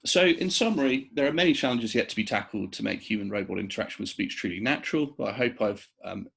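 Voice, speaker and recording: A man giving an explanatory research presentation as an academic, in a measured, somewhat hesitant manner with pauses. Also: on the low side, expressive, creaky, fairly bright tone, husky.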